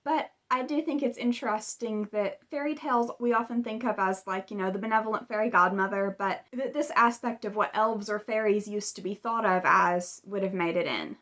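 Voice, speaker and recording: A woman's voice: medium pitch, brisk, few pauses, a bit bright. A woman hosting a video, retelling stories in a casual, chatty way with conversational asides. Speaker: video host. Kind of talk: casual video commentary retelling fairy tales.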